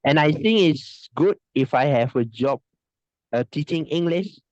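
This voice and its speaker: A man from Indonesia speaks slowly and haltingly, restarting his sentences over and over. His voice has a middle pitch. It comes through dry and a little muffled over a video call. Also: animated, darkish tone, no echo. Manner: halting, hesitant speech with many restarts and frequent laughs. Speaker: online English teacher, interview guest. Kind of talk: casual video-call interview.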